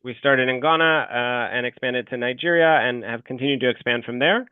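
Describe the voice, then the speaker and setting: A man's voice: medium pitch, fairly quick, clean tone, no room sound. American man on a tinny webinar call. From United States. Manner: hesitant, many fillers and restarts. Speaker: lender representative answering questions. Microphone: video call. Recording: phone-like call audio.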